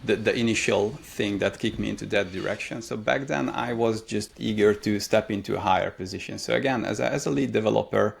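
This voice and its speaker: Hungarian guy in his 30s, low gravelly voice, lots of uhs while he thinks. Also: steady tone, brisk, few pauses. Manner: thoughtful, many hesitations and fillers. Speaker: guest, career mentor. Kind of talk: casual interview answers.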